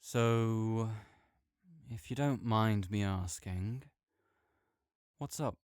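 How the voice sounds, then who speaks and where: A young man playing a caring, easygoing friend who speaks gently and reassuringly to the listener in an audio roleplay, with some soft joking. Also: lowish voice, extremely slow, smooth, no echo, studio quality.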